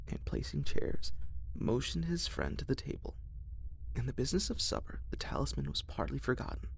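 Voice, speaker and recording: A man reading a horror story aloud in a soft ASMR whisper. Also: medium pitch, gravelly, slight background noise. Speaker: narrator.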